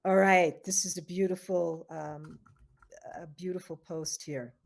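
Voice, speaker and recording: A woman's voice: lowish voice, steady tone, measured pace, creaky. A woman hosting an online interview, affirming her guest with frequent fillers and repeated words. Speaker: host of foundation interview.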